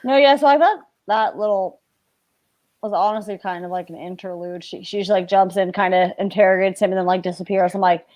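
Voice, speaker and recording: A woman's voice: medium pitch, fairly quick, darkish tone. Woman explaining casually on video call. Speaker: book discussion guest. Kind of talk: casual chat. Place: living room at home, on a video call.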